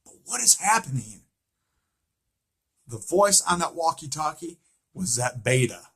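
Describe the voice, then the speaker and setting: A man's voice: a little high, very animated, measured pace, a bit bright, breathy edge. A man giving an informal recap and theory commentary on a show, emphatic and repetitive, often posing rhetorical questions. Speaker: fan commentator on a TV series.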